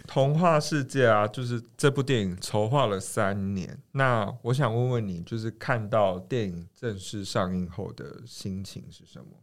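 A podcast host with a Taiwanese Mandarin accent, chatting casually and asking questions in an expressive, reactive way. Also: mid-range voice, moderate pace, hardly any pauses. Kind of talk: casual interview chat.

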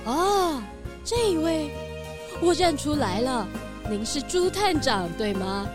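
A woman's voice: high voice, animated, takes it slowly. A woman playing a bleating goat boss lady. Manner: bleats now and then, proud and friendly. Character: gentle female goat cookie factory owner. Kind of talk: children's radio drama. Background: background music.

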